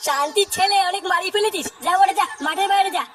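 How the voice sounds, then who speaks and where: A man voicing a grumbling, sceptical cricket fan in a comedy cartoon, with slangy speech, a West Bengal Bengali accent and a pitched-up voice. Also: quick pace, background noise. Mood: rowdy banter. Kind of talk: comic cartoon voice acting.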